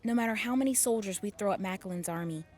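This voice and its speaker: A woman's voice: mid-range voice, fast talker, lightly acted. A woman playing a confident, flirty army officer in an audio drama, teasing and reassuring. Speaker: lieutenant colonel.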